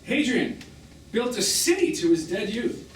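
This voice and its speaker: A man's voice: high-pitched, very animated, a little slow. An actor on stage playing a bitter, wounded man in his fifties, sarcastic and theatrical, as a jilted lover in a live drama. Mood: impassioned, aching. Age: 50s. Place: small theatre, recorded from the audience. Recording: echoey, noisy stage recording from far off.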